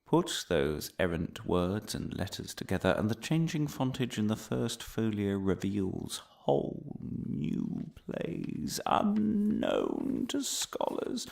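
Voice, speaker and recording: Pompous, wildly swooping crackpot professor. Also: male voice, lowish voice, wildly swooping pitch, gravelly, a little mellow, exaggerated voice. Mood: excitable, confiding. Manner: pompous, theatrical, with dramatic pauses and sudden outbursts. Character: pompous crackpot male professor lecturing on Shakespeare conspiracy. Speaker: lecturer. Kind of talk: comic monologue as a mock lecture.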